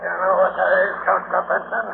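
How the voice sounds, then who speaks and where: An actor playing a greedy, murderous sea captain in a 1940s radio thriller, with a gruff, salty, barking delivery. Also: male voice, high-pitched, flat delivery, brisk. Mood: menacing. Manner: barking, salty sailor's vernacular, menacing. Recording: scratchy, tinny, noisy 1940s radio recording.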